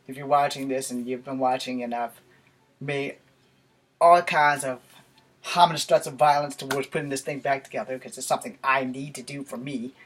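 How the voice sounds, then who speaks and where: A man's voice: medium pitch, steady tone, normal speed, a bit bright. An American man in his forties giving a rambling, casual vlog talk to camera in a small bedroom. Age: 40s. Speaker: YouTube vlogger. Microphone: camera a few feet away. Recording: noisy home camera recording with clicks.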